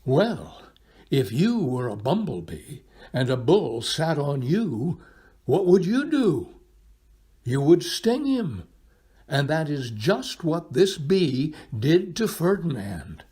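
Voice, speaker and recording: Slow, animated man reading a children's storybook. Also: fairly high pitch, husky. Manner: expressive, dramatic pauses, addresses young listeners. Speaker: volunteer storybook reader. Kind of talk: children's picture book read-aloud.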